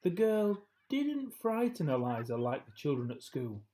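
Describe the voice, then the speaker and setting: A man narrating a children's story as a storyteller, with measured, expressive phrasing and deliberate pauses. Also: on the high side.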